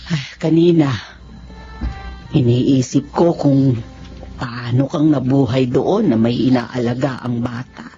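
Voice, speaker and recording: An actress playing a forgiving elderly Filipino mother and grandmother in a radio drama, speaking tenderly and earnestly in drawn-out phrases. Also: low-pitched, darkish tone. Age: older. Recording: dull lo-fi audio with music underneath.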